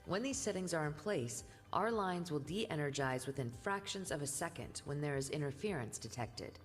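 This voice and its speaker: Low, even-toned female voice-over for a utility safety video, played back in a hall with music under it. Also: moderate pace. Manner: calm, even, informative. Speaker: video narrator. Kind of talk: scripted corporate voice-over.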